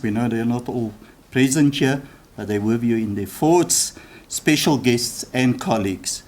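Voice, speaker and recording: A man with a South African accent chairing a university ceremony, delivering a formal, measured welcome speech with occasional restarts. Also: mid-range voice, darkish tone. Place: university lecture hall.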